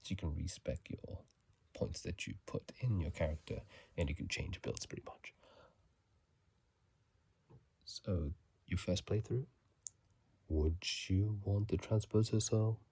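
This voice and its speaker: A man doing whispered ASMR commentary on a video game, soft and breathy, musing in a halting, casual way, close to a phone mic. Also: deep voice. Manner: halting, musing, unhurried delivery. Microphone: close phone microphone.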